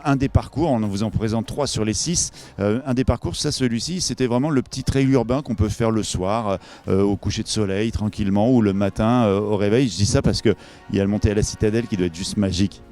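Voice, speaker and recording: A man's voice: on the low side, fairly quick, mellow, faint music behind. A man presenting a promotional event interview, improvising and often searching for his words.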